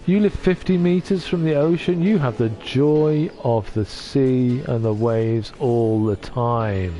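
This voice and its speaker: Gentle, hesitant man streaming from home. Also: medium pitch, slow, soft background music. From North Wales. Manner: gentle, hesitant, with many restarts. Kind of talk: casual chat reading out viewer comments. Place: at home on a sofa.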